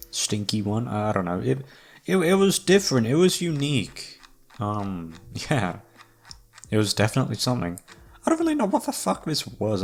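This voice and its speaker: A man with a low voice and plenty of vocal fry chats off the cuff to his listeners. He rambles hesitantly, with a self-deprecating, very casual manner. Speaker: audio roleplay creator and host. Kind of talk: casual unscripted outro chat.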